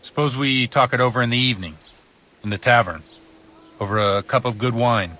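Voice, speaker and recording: An actor playing a worldly, kindly but principled middle-aged village priest in a video game, speaking in a measured, fatherly way. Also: medium pitch, lightly acted. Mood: grave, conciliatory. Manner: measured, fatherly, gently philosophical. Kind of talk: video game cutscene acting.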